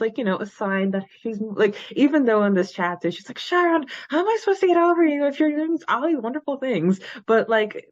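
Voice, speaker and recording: A woman's voice: medium pitch, no room sound, lo-fi sound. A woman co-hosting a podcast in casual, chatty talk, prone to giggling. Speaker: podcast co-host. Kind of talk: casual chat about a fan fiction.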